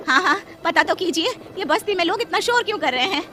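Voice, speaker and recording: A woman's voice: extremely high pitch, expressive, quick pace, pushed and bright, voice pushed up, full character voice. Woman playing a fretful poor housemaid wife. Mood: surprised. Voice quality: pleading, fretful. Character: poor young housemaid wife, anxious, dutiful. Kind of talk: Hindi cartoon voice acting.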